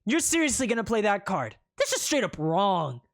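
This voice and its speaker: A man's voice: high voice, big pitch swings, normal speed, dry recording, clean studio sound, voice pushed up. A storyteller voicing a cheeky, boastful young male commoner hero who teases his rival. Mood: protesting.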